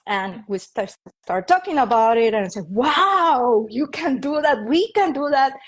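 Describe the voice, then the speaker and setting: A woman's voice: sits high, animated, measured pace. A woman in her fifties from Colombia, with a Colombian Spanish accent, telling her life story in a casual interview with many "like" fillers. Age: 50s. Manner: rambling, with frequent "like" fillers and light laughs. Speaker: interview guest, feng shui and Reiki practitioner.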